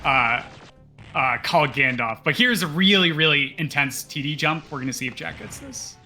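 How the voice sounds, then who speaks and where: A man commentating live on a game speedrun in a bright, forward voice pitched a little high. He explains things casually as he goes. Faint music plays behind him. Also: lively intonation, moderate pace.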